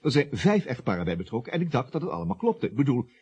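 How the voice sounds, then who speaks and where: A man acting in a Dutch radio drama as a glib, excitable, persuasive estate agent who schemes and coaxes. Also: mid-range voice, fast talker, gravelly, breathy edge, heavily acted. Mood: coaxing reassurance. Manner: glib, coaxing, excitable with hesitations. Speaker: radio play actor. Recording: muffled old radio play recording.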